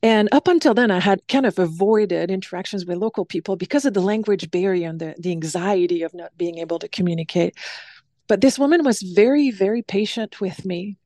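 Mellow woman, dry sound. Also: mid-range voice, brisk, no echo. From Quebec City, Canada. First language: French. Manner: thoughtful, frequent ums, light laughs. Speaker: guest, stage performer. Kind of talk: conversational interview answers.

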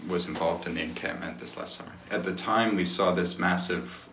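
A young male student recounts events in an interview. His voice is deep and flat with a bright edge. The sound is roomy with faint ambience. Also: fairly quick, a little hiss or hum.

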